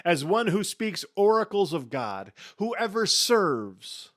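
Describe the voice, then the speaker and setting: A man with a fairly high voice reads scripture as a dramatic performance. His delivery is very slow and solemn, leaning on key phrases and leaving deliberate pauses between them. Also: big pitch swings, fairly bright tone. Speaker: Bible reader.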